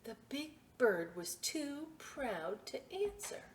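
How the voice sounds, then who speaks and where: Lady doing storytime for little kids, takes it slow, super expressive and playful, chats and asks questions, bit of background noise. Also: high-pitched. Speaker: children's story time reader at a library. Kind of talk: read-aloud storytelling with chatty asides to young children.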